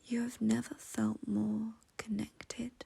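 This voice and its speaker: A woman leading a guided meditation in a soft, breathy, whispery voice, gentle and soothing, with long pauses. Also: a little high, level tone.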